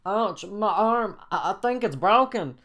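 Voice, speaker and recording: A man voicing an upset, injured cartoon character who complains in a pained, drawn-out way. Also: sits high, expressive, a bit bright, voice pushed up, exaggerated voice.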